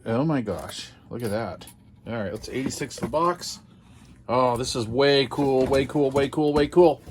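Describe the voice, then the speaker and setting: A man's voice: medium pitch, unhurried, slight background noise. An older American man chatting casually as he hosts a hobby unboxing video, rambling along in a folksy, self-deprecating way.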